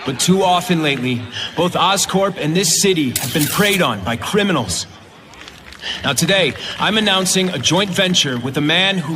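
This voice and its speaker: A man's voice: a little high, noisy background, close to an everyday voice. A man voicing a confident young corporate heir in a video game, making a formal, earnest public announcement.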